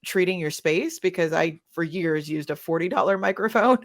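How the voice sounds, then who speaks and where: A woman's voice: fairly low pitch, moderate pace. A woman talking casually as a panelist, giving advice in an informal way. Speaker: panelist, audio editor.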